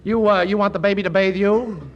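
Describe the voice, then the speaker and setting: An actor playing a brash, fast-talking, wisecracking Hollywood writer in a 1940s radio comedy. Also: male voice, high voice, fairly even intonation, lo-fi sound, natural voice. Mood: mocking. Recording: noisy old radio broadcast.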